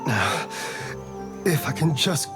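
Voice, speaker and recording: An agitated schemer, swooping wildly in pitch. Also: male voice, very animated, takes it slowly. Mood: agitated, desperate. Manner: muttering to himself, erratic. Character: agitated, desperate man scheming to bargain with a devil. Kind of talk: video game dramatic acting. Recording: music behind.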